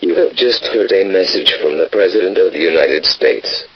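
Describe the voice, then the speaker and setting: A man plays a grim emergency station announcer with an extremely low voice. He reads formal, official bulletins. The radio sound is dull and lo-fi, with faint hiss. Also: expressive, normal speed, gravelly.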